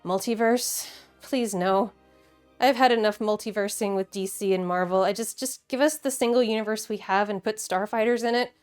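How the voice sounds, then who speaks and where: Expressive woman over background music. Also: mid-range voice, moderate pace, clean tone. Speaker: video host. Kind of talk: casual video commentary with game play reactions.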